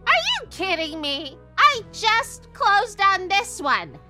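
A woman's voice: extremely high pitch, sing-song, takes it slowly, pushed and bright, soft background music, full character voice. An actress playing a blunt, cranky, vengeful old witch in a comedy horror radio play. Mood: irritated. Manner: blunt, dramatic, scolding. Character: cranky old witch woman, vengeful, foul-mouthed homeowner.

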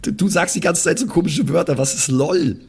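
Man acting a husky, sneering, foul-mouthed gas-station clerk. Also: on the high side, fairly quick, voice pushed up, exaggerated voice. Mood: scornful, baffled. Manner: sneering, swearing, contemptuous.

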